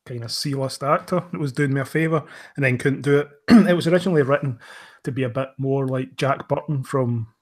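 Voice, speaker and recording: Man with a mid-pitched conversational voice. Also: brisk. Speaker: audio drama writer and director. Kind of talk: casual interview answers.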